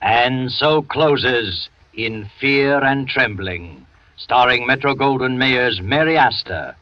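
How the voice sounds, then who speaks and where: A man narrating a suspense show in a formal, deliberate, ominous 1940s radio announcer style. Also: medium pitch, no echo. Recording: muffled vintage radio broadcast over music.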